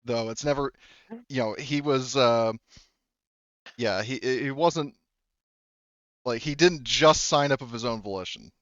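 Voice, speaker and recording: A man with a clean, resonant voice at a middling pitch acts as game master for a tabletop game. He explains in stops and starts, circling back to reword himself. The sound is very dry and a little dull. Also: fairly bright tone, dry recording. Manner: halting, repeats and rephrases mid-sentence. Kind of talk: explaining plot while running a tabletop roleplaying game.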